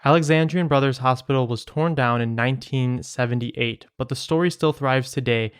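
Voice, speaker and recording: A man's voice: mid-range voice, a little fast, clean tone, no room sound, studio quality. Man co-hosting a casual podcast. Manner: joking asides. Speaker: podcast co-host. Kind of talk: reading listener email aloud with casual banter.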